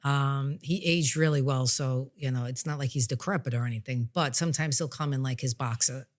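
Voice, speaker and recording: A woman's voice: extremely low pitch, brisk. Female podcast host and medium, conversational and frank, plain-spoken with emphatic delivery. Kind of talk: candid conversational podcast talk.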